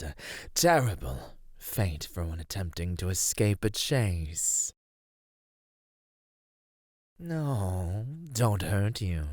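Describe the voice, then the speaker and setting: A man playing an ancient cave monster in an ASMR roleplay, husky and low, speaking formally with predatory, teasing menace. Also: darkish tone, dry recording, clean studio sound, exaggerated voice. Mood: teasing, intrigued.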